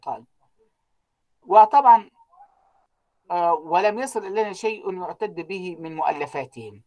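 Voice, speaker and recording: A man giving an online university lecture, trailing off so students fill in the words, with frequent drawn-out fillers. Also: high-pitched, expressive, mellow. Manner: keeps quizzing students with unfinished sentences, many drawn-out fillers.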